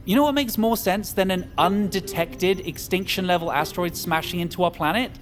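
Actor as suspicious bossy guy, kinda high voice, arguing and sarcastic, audio drama with music behind. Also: fairly quick, lightly acted. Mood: agitated, scornful. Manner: argumentative, commanding, sarcastic. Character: suspicious, defiant man leading a trapped group's escape plot. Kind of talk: audio fiction drama acting.

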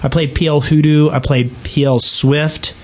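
A man's voice: on the high side, little pitch movement, normal speed, darkish tone. A man co-hosting a gaming podcast in casual conversation, with a somewhat grumbling, argumentative manner. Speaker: podcast co-host. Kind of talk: casual chat about gaming.

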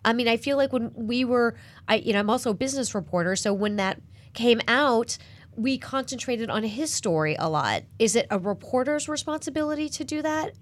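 A woman host and business reporter leading a panel discussion, animated and asking questions. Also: fairly high pitch, a little fast, no room sound.

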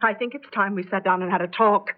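A woman's voice: medium pitch, steady tone, fast talker, natural voice. A woman playing worried wife Martha. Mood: pleading. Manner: soothing yet firm, pleading. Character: worried middle-aged wife Martha, firm and patient. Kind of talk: 1970s radio drama acting. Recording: dull lo-fi old radio recording.

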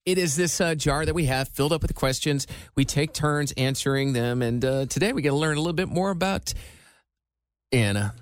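A man with a slightly nasal voice co-hosts a radio podcast in casual conversation. He tells stories in an easygoing, animated way. The studio mic sounds close and dry. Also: fairly high pitch, a little fast, clean tone, no room sound. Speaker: radio co-host. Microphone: studio microphone.

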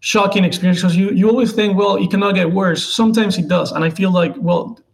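A man in his twenties with a Venezuelan accent, a native Spanish speaker, answering interview questions haltingly as he searches for words. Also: high voice, fairly even intonation, gravelly. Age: 20s. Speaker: interview guest, political contributor.

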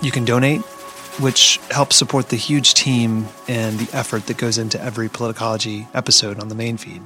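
A man hosting a political podcast, closing the episode with a steady scripted read. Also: mid-range voice, fairly quick, faint music behind. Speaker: podcast host. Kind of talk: podcast outro.

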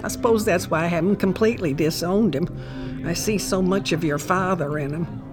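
A woman's voice: lowish voice, normal speed, over music, lightly acted. A woman playing a cruel, haughty old family matriarch in an audio drama, scolding in formal diction. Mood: scolding, contemptuous. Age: older.

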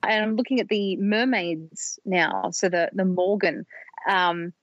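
A woman's voice: mid-range voice, takes it slowly, highs cut off, heavy background noise. A woman co-hosting a podcast, curious and asking the guest questions. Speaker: podcast co-host. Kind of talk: casual interview chat.

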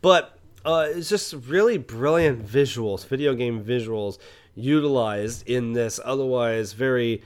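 Male podcast host, medium pitch, informal film-review chat, conversational and question-prone. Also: unhurried. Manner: conversational, rhetorical check-in questions.

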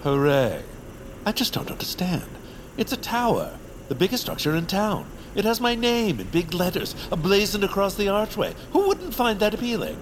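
A pompous, animated, sulking baron. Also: male voice, sits high, normal speed, low-quality recording. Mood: sulky, indignant. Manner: pompous, sarcastic. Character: vain, sulky, self-important baron, a schemer. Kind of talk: audio fiction acting. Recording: clear background ambience noise.

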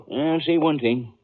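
A high-voiced, rustic frontier storekeeper. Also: male voice, a little hiss or hum. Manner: rustic, chuckling drawl. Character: folksy, good-natured, chuckling frontier bachelor storekeeper. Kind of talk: 1950s Western radio drama acting. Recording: dull vintage radio recording.

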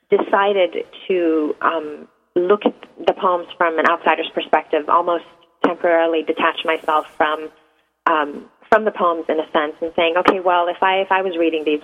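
A woman in her thirties from Iran, a native Persian speaker, answering radio interview questions hesitantly and reflectively, with many ums. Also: low-pitched. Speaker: guest poet. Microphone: phone. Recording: tinny phone line.

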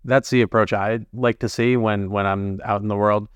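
Smooth-voiced man hosting an interview. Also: fairly low pitch, steady tone, normal speed, no echo, lo-fi sound. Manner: conversational, uses "like" often. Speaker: podcast host. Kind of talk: interview questions.

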